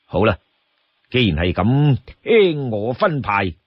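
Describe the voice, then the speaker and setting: A man voicing a cautious, deliberate chief of an outlaw band in a storybook tale. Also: medium pitch, sing-song, breathy edge, dry recording, highs cut off, pitched below the performer's own voice, full character voice. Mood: deliberate, commanding.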